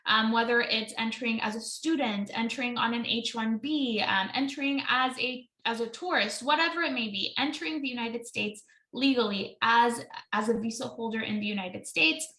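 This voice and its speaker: A woman's voice: fairly high pitch, moderate pace, bright, forceful tone, echoey room, poor audio. A woman in her thirties, an immigration attorney, presenting a webinar in a chatty way with many ums and self-corrections.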